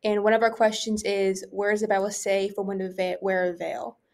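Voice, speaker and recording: A woman's voice: medium pitch, level tone, brisk, a little mellow. Young woman co-hosting, chatty and informal. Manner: informal, peppered with "like" and "you know". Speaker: podcast co-host relaying audience questions. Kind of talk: casual conversational discussion.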